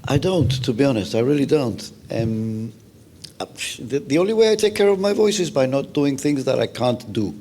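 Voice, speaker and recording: A man's voice: mid-range voice, lively intonation, moderate pace, mellow, slight background noise. A man with a Gibraltarian accent, a singer chatting casually and plainly, repeating himself for emphasis. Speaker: interview guest, singer. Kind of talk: casual chat.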